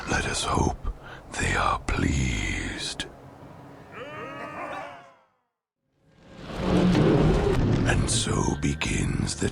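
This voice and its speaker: Ancient, abyssally deep gravelly ghost. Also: male voice, full character voice. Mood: solemn, foreboding. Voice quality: very gravelly, breathy rasp. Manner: slow, solemn, theatrical pronouncements. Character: ancient male ghostly hero, haunted, regal and ominous. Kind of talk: video game cutscene acting.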